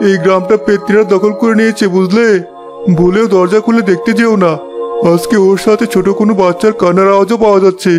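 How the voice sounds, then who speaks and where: A man playing an excitable, frightened villager with a dramatic, alarmed manner in an animated horror story. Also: high-pitched, fast talker, close to an everyday voice. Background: music.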